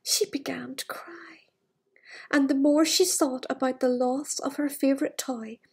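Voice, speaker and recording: A middle-aged woman with a breathy voice, reading a fairytale to children with gushing, chatty asides in a living room. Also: high voice, measured pace, darkish tone. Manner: gushing, wistful enthusiasm for the book. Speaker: children's storyteller. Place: living room with large glass doors. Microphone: device camera at arm's length.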